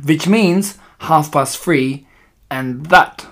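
Male narrator as evil storybook witch, imperious, malevolent, slow emphatic delivery, broken grammar. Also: fairly high pitch. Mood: gloating, commanding. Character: imperious, malevolent female Grand High Witch plotting against children.

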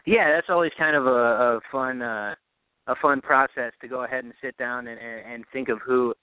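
A man's voice: medium pitch, steady tone, moderate pace, smooth, no room sound. Man on a tinny phone-like line. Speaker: podcast guest, radio play-by-play announcer. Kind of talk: casual sports analysis.

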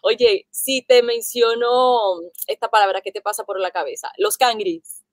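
A woman's voice: fairly high pitch, measured pace, no room sound. A woman hosting an interview, asking questions and making admiring remarks.

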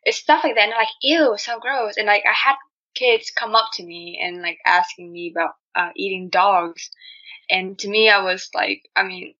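A woman's voice: mid-range voice, moderate pace, bright, forceful tone, highs cut off. A young adult woman from Vietnam with a Vietnamese accent, chatting candidly as a guest and telling personal stories with plenty of filler phrases like "you know". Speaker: podcast guest, marketing student. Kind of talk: casual personal storytelling in an interview.

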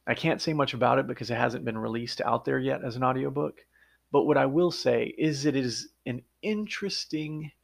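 A man's voice: mid-range voice, creaky. A man hosting his own podcast, talking through his work as an audiobook narrator in an earnest, step-by-step conversational way. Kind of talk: casual solo podcast monologue.

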